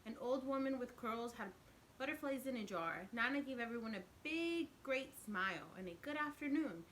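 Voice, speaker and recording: Woman, teacher, high voice, expressive children's read-aloud, simple explanatory asides, noisy recording. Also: normal speed. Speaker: teacher reading a picture book to children. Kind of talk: read-aloud storytelling with asides to young listeners.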